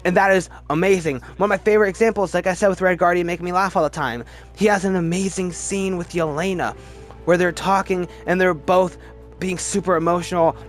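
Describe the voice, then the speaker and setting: A man giving casual, opinionated commentary as a video host, emphatic and halting, often restarting his sentences. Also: high-pitched, steady tone, faint music behind. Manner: chatty, halting, restarts sentences mid-word. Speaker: movie review video host. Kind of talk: casual ranked-list movie commentary.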